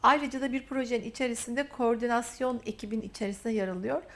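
A woman's voice: on the high side, steady tone, quick pace. A woman hosting a university TV interview, praising her guest in repeated emphatic phrases. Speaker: interview host. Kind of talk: warm, effusive interview talk.

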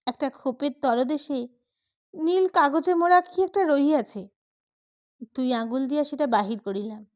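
A woman narrates a literary story. Her voice is high, and she reads in a dramatic storytelling style suited to an audiobook. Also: normal speed, darkish tone.

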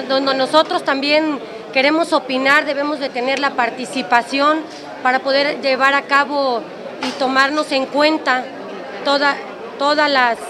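A Mexican woman in her thirties making a statement to the press as a local official, pausing between short phrases. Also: high voice. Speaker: municipal official speaking to press. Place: crowded indoor room. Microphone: press microphones on table. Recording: busy crowded press conference room.

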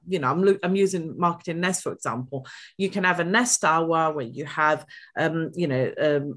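A woman with an Italian accent, a podcast guest giving social media tips with quirky, lively chat and many fillers over a video call. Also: low-pitched. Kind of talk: podcast interview. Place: home room.

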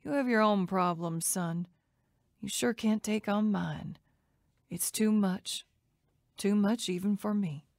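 A woman's voice: medium pitch, slow, creaky, long pauses, no room sound, studio quality. An actress playing a weary, despairing mother in her forties who rambles and repeats herself in a radio drama. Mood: hopeless. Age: 40s.

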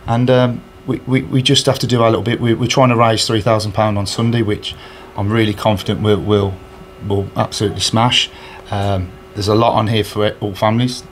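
A man in his thirties talks in a somewhat low voice, earnest and halting, full of fillers and restarts. He is recorded indoors on a handheld recorder held close, with faint ambient noise behind him. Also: flat delivery. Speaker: charity match organiser. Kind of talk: casual interview answers. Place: indoors in front of a sponsor board. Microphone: handheld recorder held by interviewer.

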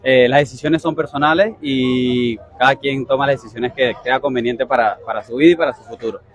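A young man from Venezuela answering a reporter's questions outdoors at a busy dock, polite and hesitant, pausing and restarting often. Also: mid-range voice, steady tone. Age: young adult. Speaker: interviewee, migrant. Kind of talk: street interview answers. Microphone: reporter's handheld mic.

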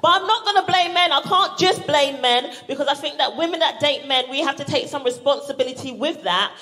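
A woman's voice: high voice, fairly quick. A woman from Barbados with a British accent performing an exclamatory, sweary stand-up routine to a live audience. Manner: animated, exclamatory, sweary, acts out reactions. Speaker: stand-up comedian. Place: live show on stage in a venue. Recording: live comedy venue with audience noise. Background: audience laughter and room ambience.

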